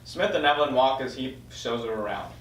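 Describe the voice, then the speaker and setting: A man's voice: mid-range voice, expressive, a little fast, a bit bright. A man reading stage directions as the narrator of a screenplay table read. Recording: echoey room, distant mic, faint noise.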